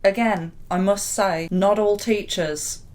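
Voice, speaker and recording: A young woman from the UK with a deep voice, candidly telling her own story to camera as a YouTuber. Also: slow pace, darkish tone. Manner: candid and reflective, with small laughs.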